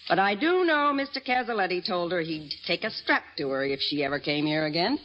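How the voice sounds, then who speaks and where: A woman's voice: lowish voice, lively intonation, brisk, close to an everyday voice. An actress playing a curt, sarcastic, complaining landlady in an old-time radio drama. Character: scornful, complaining landlady, an adult woman. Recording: dull, noisy old radio recording.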